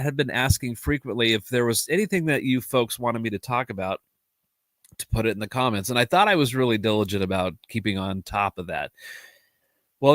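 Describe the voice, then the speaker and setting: A man's voice: mid-range voice, a bit bright, dry recording. A man hosting a live podcast, talking casually with a nasal, allergy-stuffed voice, rambling, restarting his sentences and laughing often. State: congested from allergies. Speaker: podcast host. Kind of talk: casual solo livestream talk.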